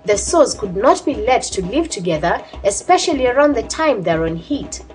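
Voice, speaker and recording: Woman, scripted documentary voice-over, medium pitch, lively melody in delivery, soft music behind. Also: normal speed. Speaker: narrator.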